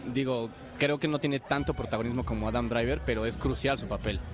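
A man with a Mexican Spanish accent, a guest who reviews films, talking in a relaxed, rambling way. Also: medium pitch, fairly quick. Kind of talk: casual chat.

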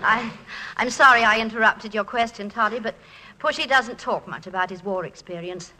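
An actress playing a gracious, gently wry college president's wife who coaches students, in 1940s radio comedy style. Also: female voice, a little high, moderate pace, slight background noise, rough recording. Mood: gentle. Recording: 1940s radio broadcast, music underneath.

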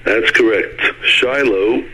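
Deep husky middle-aged man on phone. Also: takes it slowly, sharp tone, poor audio. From United States (Brooklyn). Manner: earnest, repeats words when starting sentences. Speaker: guest, former mayor. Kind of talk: interview over the phone. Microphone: phone line.

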